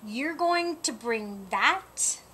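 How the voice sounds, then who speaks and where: A woman's voice: on the high side, expressive, extremely slow, sharp tone, a little hiss or hum, exaggerated voice. A woman voicing a worried, bossy small male animal in a storybook, insistent and cautioning. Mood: disapproving.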